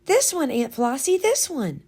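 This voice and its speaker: A woman's voice: high-pitched, wildly swooping pitch, a little slow, a little mellow, pitched above the performer's own voice, exaggerated voice. A woman voicing a playful, inquisitive little girl in a storybook, enthusiastic and sing-song. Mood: curious.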